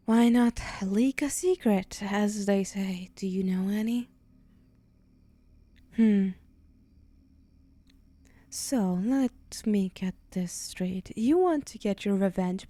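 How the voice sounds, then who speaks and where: A woman's voice: medium pitch, warm tone, no echo. A woman voicing a vain, sly demon in an ASMR roleplay, speaking in a haughty, teasing, theatrical way with sighs. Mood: musing, sly. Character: vain, sly female demon striking soul bargains. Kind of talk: ASMR roleplay monologue to the listener.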